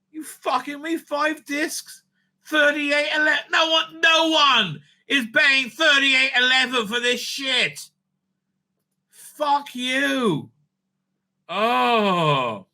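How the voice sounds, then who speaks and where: A man's voice: extremely high pitch, expressive, takes it slowly, pushed and bright. A man giving rambling, opinionated commentary as a video host, blunt and dismissive, often repeating himself and swearing. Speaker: YouTube host and reviewer.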